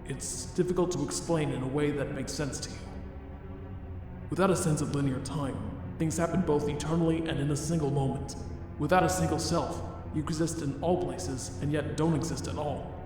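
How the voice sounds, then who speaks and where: Comic-dub drama: despairing, formal skeleton scientist male actor, deliberate and resigned, long hall reverb with music behind. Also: fairly high pitch, steady tone, lightly acted. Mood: weary, resigned. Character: despairing, weary, self-loathing scientist, male skeleton monster.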